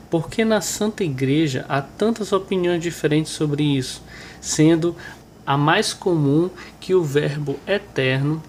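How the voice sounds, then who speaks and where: A Brazilian man with a nasal, hoarse voice, stuffed up with a cold, presenting and reading a religious book aloud. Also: fairly high pitch, slight background noise. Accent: Brazilian Portuguese. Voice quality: nasal, congested. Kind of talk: informal remarks and devotional reading aloud.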